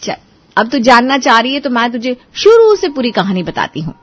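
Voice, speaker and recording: Woman doing cocky young bahu in a moral cartoon, highish voice, muffled audio. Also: very animated, normal speed, fairly bright tone. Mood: boastful. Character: bold, boastful young daughter-in-law. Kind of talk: Hindi moral cartoon story acting. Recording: dull, band-limited track.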